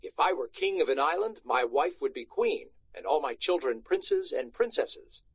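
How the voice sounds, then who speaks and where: A man's voice: on the high side, lively intonation, normal speed, husky, no room sound. A man voicing a simple, good-natured farmer squire in a storybook, plain-spoken and questioning, on a slightly muffled recording. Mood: agreeable. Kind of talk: storybook read-aloud.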